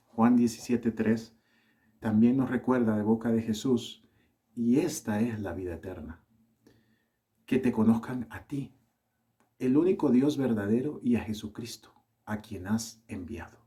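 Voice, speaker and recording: Salvadoran-accented male preacher, mellow tone, earnest and measured sermon delivery. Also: fairly low pitch. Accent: Salvadoran with Colombian touches.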